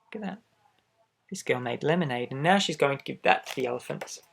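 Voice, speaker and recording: Man gently reading a children's picture book. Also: mid-range voice, brisk, creaky. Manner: gentle, expressive, addressing a young listener directly. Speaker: storybook reader for children. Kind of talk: read-aloud picture book narration with asides.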